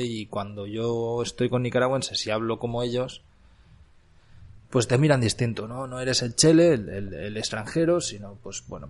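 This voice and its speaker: A man from Barcelona with a Catalan-tinged Spanish accent, his voice gravelly and sitting at an ordinary middle pitch. He talks in a reflective, rambling way, pausing on fillers and restarting phrases as he recounts his own experiences for a podcast. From Catalonia, Spain. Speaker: podcast host. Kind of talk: personal storytelling monologue. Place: Granada, Nicaragua.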